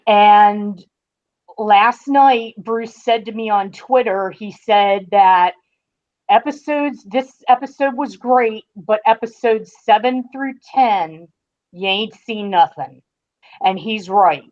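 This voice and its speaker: A woman giving her review as a podcast guest, speaking in casual discussion with measured, emphatic phrasing. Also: mid-range voice, a little mellow, muffled recording.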